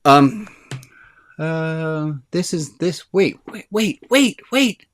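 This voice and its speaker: Eager, fast-talking rabbi with a deep voice. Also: male voice. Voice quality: deep resonant voice. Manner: eager and fast, says excitement speeds him up. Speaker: rabbi hosting an online group session. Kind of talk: casual, chatty talk to a group on a video call. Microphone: video call.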